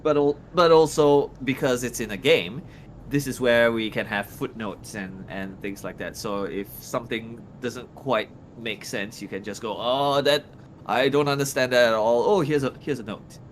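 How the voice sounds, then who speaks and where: Hesitant man chatting, mid-pitched voice. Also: moderate pace, a little hiss or hum. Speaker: co-presenter on a game project stream. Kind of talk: casual livestream chat.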